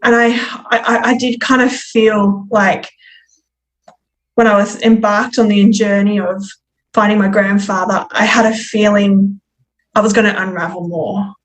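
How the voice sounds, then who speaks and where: A woman guest telling her personal story in conversation, reflective and candid, with a halting delivery. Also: medium pitch, steady tone, rough recording. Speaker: podcast guest.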